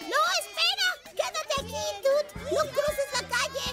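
An actor voicing an excitable, cocky young boy motorcycle in a children's cartoon, exclamatory and playful. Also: very high voice, a little slow. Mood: flustered, panicky. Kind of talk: animated children's cartoon dubbing. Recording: loud children's music behind the voice.

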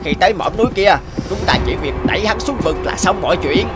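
An actor voicing a brutal, swaggering henchman in an animated fairy tale, with a barking, menacing manner. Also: high voice, quick pace, over loud music. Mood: gleeful menace.

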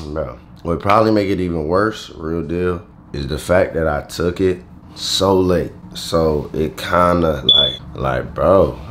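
Groggy young man, very low sleepy voice. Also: a little hiss or hum. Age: young adult. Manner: slangy, rambling, lots of fillers. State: groggy, just woke up. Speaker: vlogger. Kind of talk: casual vlog talking to camera. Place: bedroom at home, indoors.

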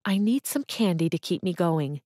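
A woman voicing an eager, slightly flustered young woman writer who answers quickly. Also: fairly low pitch, lively intonation, mellow, dry recording, studio quality.

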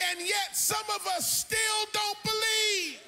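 High-pitched, forceful American preacher man. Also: fairly even intonation, slow pace, faint music behind, a faint crowd. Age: middle-aged. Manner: emphatic, theatrical, often shouting and half-singing. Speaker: pastor. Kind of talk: animated sermon to a congregation. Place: large church auditorium, on stage. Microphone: handheld microphone.

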